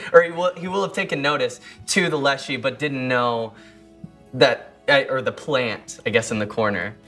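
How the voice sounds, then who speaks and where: Halting man narrating in a tabletop game. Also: mid-range voice, a bit bright. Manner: halting, describes piece by piece. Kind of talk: casual improvised character narration.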